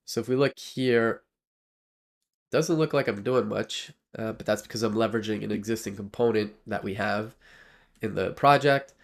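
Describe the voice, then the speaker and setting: A man with a low, gravelly voice that sounds nasal from a cold talks through his code on a live stream. His speech rambles and is full of fillers and restarts, picked up close on a desk mic. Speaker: livestreaming developer. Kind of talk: casual live coding talk.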